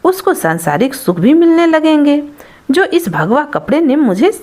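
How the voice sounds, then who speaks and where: A woman voicing a greedy, sly thief posing as a smooth-talking holy man in a folktale. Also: high voice, big pitch swings, normal speed, darkish tone. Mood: scheming.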